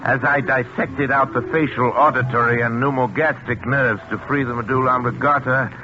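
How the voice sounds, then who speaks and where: An actor playing a middle-aged, guilt-ridden surgeon father, speaking gravely in a literary, dramatic 1940s radio style. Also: medium pitch, fairly even intonation, moderate pace, natural voice. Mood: grimly clinical. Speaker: actor in a radio horror play. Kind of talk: 1940s radio drama acting, first-person narration. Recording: muffled old 1940s radio recording with music.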